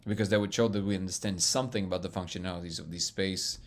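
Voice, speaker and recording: A man hosting a casual live stream, reading viewer comments and answering them in an excitable, loud, booming voice, laughing often. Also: quick pace. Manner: excitable, laughs often, reads chat aloud. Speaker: livestream host answering chat.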